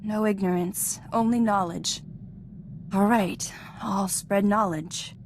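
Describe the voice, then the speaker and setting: Young female Padawan for a game, played by a woman: modest, earnest, slightly hesitant, average pitch, light background noise. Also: fairly even intonation, slow, close to an everyday voice. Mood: thoughtful, earnest. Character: eager, modest young female Jedi apprentice. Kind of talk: scripted video game voice acting.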